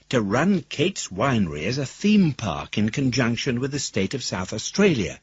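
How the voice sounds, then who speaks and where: A man's voice: fairly low pitch, slightly rough, no echo, full character voice. An actor playing a self-satisfied wealthy businessman in a radio drama, reading out a letter with false cordiality. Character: smooth-talking, gloating wealthy businessman writing to a rival. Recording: dull, muffled radio recording.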